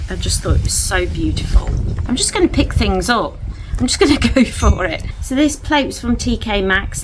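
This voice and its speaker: A woman's voice: medium pitch, slight background noise, rough recording. A woman chatting at home in a casual vlog, gushing over things she bought. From England. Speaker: vlogger. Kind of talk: casual haul chat to camera. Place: at home indoors.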